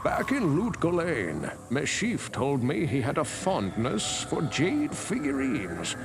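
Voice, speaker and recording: An actor playing a wise, solemn elderly sage in a fantasy game, with a gravelly voice and deliberate delivery. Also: male voice, a little high, huge pitch swings, background noise, full character voice. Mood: thoughtful, storytelling. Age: older. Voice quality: gravelly, creaky. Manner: slow, deliberate, portentous. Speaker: game character giving lore. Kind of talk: fantasy game narrative dialogue. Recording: loud game music underneath. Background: loud background music.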